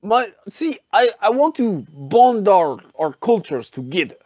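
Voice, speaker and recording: A man playing a pompous, nostalgic Soviet poet in improvised comedy, with a put-on Russian accent and a halting, mock-grandiose delivery that repeats words. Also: high-pitched, big pitch swings, darkish tone, dry recording, full character voice. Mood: proudly patriotic.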